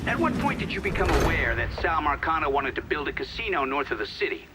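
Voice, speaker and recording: Formal interrogator in hissy noise. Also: male voice, a little high, sing-song, fast. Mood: probing. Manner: formal, probing. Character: formal male official questioning a witness. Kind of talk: acted hearing in a game cutscene. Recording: noisy, hissy hearing recording.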